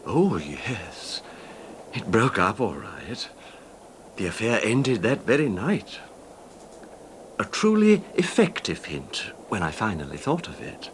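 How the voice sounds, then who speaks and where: An actor playing a controlled, wronged husband calmly confessing his revenge in a 1970s radio drama, measured and understated, with slight hesitations. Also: fairly low pitch, a little hiss or hum. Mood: hushed, chilling.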